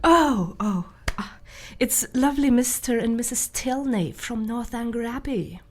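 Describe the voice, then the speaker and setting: A woman's voice: a little high, expressive, a little slow, a little mellow, slight background noise. A woman playing a sociable, teasing Gothic wife in a radio drama, chatty and chiding. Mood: wistful, defensive.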